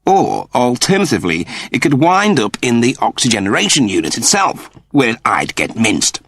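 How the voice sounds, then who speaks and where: A man's voice: medium pitch, huge pitch swings, moderate pace, a bit bright, husky, no room sound, pitched above the performer's own voice, full character voice. Man acting a snippy, sarcastic, irritable coward. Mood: sceptical. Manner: snippy, impatient, sardonic.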